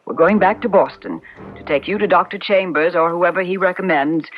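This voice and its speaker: A woman's voice: fairly low pitch, a little fast, muffled recording, close to an everyday voice. An actress playing a troubled man's exasperated, resolute wife in an old-time radio drama. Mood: exasperated, firm.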